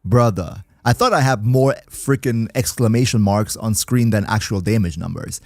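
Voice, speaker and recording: A man's voice: on the low side, normal speed, no room sound, clean studio sound. A man chatting casually with viewers on a livestream, reading chat aloud and joking around. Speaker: streamer.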